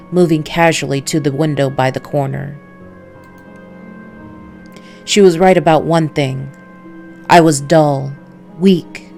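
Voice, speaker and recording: A weary male noir antihero, read by a woman narrates a visual novel in a low voice. The delivery is brooding, measured and wary, with faint music underneath. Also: vocal fry, a little mellow. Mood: grim, wary.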